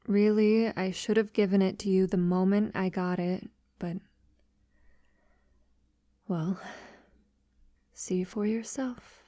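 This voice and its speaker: Slow, hushed vampire lover, acted by a woman. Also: medium pitch, steady tone, dry recording, studio quality, close to an everyday voice. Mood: hesitant, uneasy. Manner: soft-spoken, breathy half-whisper, hesitant pauses. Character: centuries-old female vampire lover, wealthy, tender, vulnerable. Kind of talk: intimate ASMR audio roleplay.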